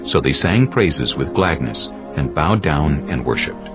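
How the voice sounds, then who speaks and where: A man narrating scripture from a script in a solemn, measured storytelling manner, as for a dramatized audio Bible. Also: rumbling low, expressive. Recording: slightly muffled, music underneath.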